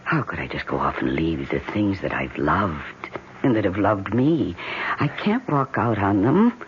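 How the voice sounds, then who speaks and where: A woman's voice: rumbling low, sing-song, measured pace, heavily acted. An actress playing an obsessive, tender woman who dotes on her houseplants, in an intense, cooing, theatrical 1970s radio drama style. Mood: resolved, tender. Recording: dull, noisy old radio broadcast recording.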